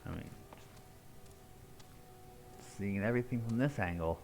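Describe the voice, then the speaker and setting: A man with a low voice gives casual live commentary on a game. He takes his time, swinging widely in intonation as he playfully reacts, with faint music behind him. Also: measured pace, gravelly, darkish tone. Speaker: game streamer.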